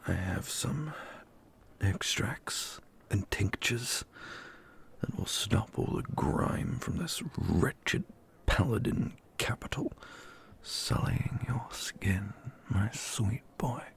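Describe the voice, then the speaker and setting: A man playing a tender, doting fantasy lover in an intimate ASMR roleplay, soothing and gently teasing in a soft whisper. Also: on the low side, lively intonation, slow, creaky, slightly rough, heavily acted. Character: tender, doting male fantasy lover caring for his partner.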